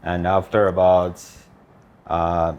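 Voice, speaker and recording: A man from China talks in English, his second language, with a Chinese accent. His voice sits a little low, and his phrases come in short, simple pieces with frequent pauses and fillers. He sounds like a guest in a relaxed interview. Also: clear, resonant tone, muffled recording. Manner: plain, slightly broken English with frequent "uh" and "you know". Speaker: guest, land investor. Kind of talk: casual interview.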